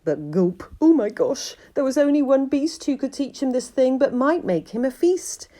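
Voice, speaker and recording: A woman reading a children's storybook expressively, like a primary school teacher, with dramatic, drawn-out emphasis. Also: high voice, lively intonation, warm tone.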